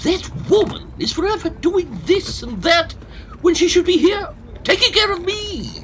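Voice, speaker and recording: An actor playing a fussy, self-pitying hypochondriac old Victorian professor in a comic audio drama, theatrical and pompous. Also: male voice, very high-pitched, sing-song, unhurried, a bit bright, heavily acted. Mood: fretful, impatient.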